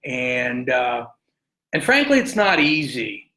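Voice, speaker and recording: A man's voice: medium pitch, very animated, slow, sharp tone. A man hosting a conversational sports interview, talking in a wordy, roundabout way. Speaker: podcast host interviewer.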